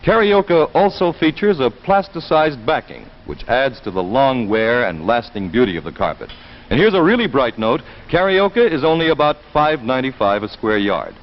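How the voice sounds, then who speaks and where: A man's voice: medium pitch, very animated, normal speed. An American man announcing a scripted 1950s sponsor message with polished, enthusiastic salesmanship. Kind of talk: 1950s broadcast commercial, smooth scripted pitch. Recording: muffled vintage 1950s broadcast.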